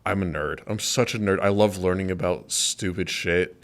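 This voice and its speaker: A man talking as a podcast guest in rambling, animated casual chat full of fillers. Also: low voice, moderate pace.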